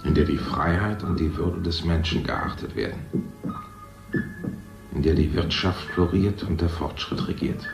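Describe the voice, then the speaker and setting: An actor playing an older man who rules a future city, lecturing in a smooth, condescending way. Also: deep voice, measured pace, reverberant, over music. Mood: proud. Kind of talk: 1970s radio play acting.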